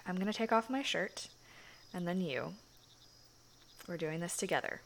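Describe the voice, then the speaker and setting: A young woman voicing a shy, sweet churchgoing girlfriend in an intimate audio roleplay, speaking softly with hushed, hesitant phrasing and frequent giggles. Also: lowish voice, animated, normal speed, gravelly, slight background noise. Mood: shy, nervous.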